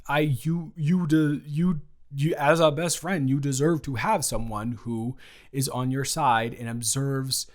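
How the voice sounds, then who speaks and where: Rambling, teasing best friend, a man plays him. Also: fairly high pitch. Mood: earnest. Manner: rambling, teasing, self-correcting. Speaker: audio roleplay creator. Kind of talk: improvised audio roleplay.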